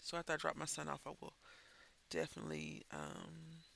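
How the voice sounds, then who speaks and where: A man's voice: on the high side, very animated, fairly quick, vocal fry, pushed and bright, slight background noise. A man chatting while coloring as host of a crafting channel, rambling and thinking aloud, sounding stuffy and congested. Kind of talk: casual chat while coloring.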